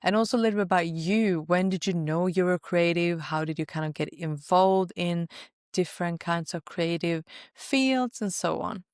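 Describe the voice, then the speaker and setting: A Swedish woman hosting a podcast, reading a scripted intro and asking gentle questions. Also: lowish voice, moderate pace, clean tone, no echo, clean studio sound. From Sweden. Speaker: podcast host. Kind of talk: podcast interview.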